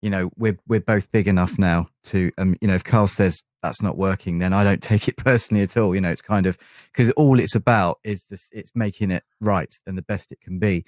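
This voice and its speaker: A man's voice: deep voice, fairly even intonation, brisk, few pauses, dry recording, clean studio sound. A middle-aged man with an English accent, talking casually as a musician guest in an interview. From England.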